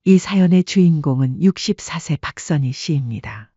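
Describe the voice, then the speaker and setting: Mellow, husky male storyteller. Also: fairly high pitch, no room sound, dull audio. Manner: calm, measured storytelling. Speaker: narrator. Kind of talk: scripted storytelling narration.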